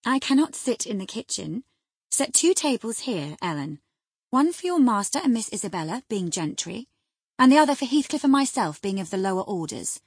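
An excitable young lady of the gentry, acted out by a woman reader, impulsive and imperious. Her voice is lifted high and pushed loud, with a slightly husky edge, as in a dramatised classic novel. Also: normal speed, dry recording. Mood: eager, playful. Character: excitable, wilful young gentry wife, breathlessly joyful. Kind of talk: audiobook character acting.

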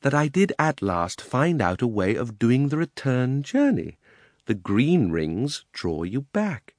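A man's voice: medium pitch, very animated, takes it slowly, darkish tone, no room sound, studio quality, voice pushed up. A man voicing a pompous, cruel old uncle who is a self-important magician in a storybook, with a haughty, indignant, condescending manner. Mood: sly, smug. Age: older. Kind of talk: dramatised storybook reading.

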